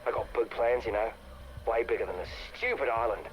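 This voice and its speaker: An actor playing a cocky, boastful New Zealander worker, talking in slangy, dismissive lines for a game audio log. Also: male voice, mid-range voice, a little fast, dull audio, a little hiss or hum, lightly acted. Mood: cocky, bragging.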